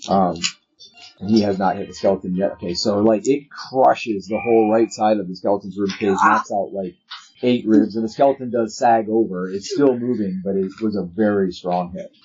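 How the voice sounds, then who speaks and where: A man's voice: on the low side, normal speed, darkish tone, dull audio. A man running a tabletop role-playing game, narrating the action and explaining rules conversationally. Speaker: game master.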